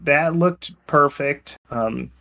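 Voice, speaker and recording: A man's voice: a little high, slow, gravelly, sharp tone. A man co-hosting a podcast, talking casually about movies and games. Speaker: podcast co-host.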